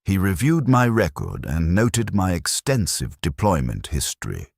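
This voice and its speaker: A man narrating a scripted first-person war story in a grave, measured, formal manner. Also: low voice, wildly swooping pitch, creaky, no room sound, studio quality.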